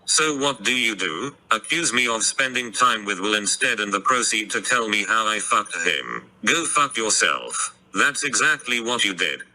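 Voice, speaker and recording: A man's voice: lowish voice, animated, moderate pace, hardly any pauses, bright, forceful tone, rough recording. A man's synthetic-sounding, hard-edged voice reading out text messages.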